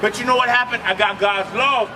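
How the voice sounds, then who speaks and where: A man preaching loudly on a city street, shouting emphatic points and ordering a reader to read on. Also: very high voice, steady tone, brisk, music in the background. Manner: loud, emphatic, often shouting, barking commands to a reader. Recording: distant mic, noisy street crowd.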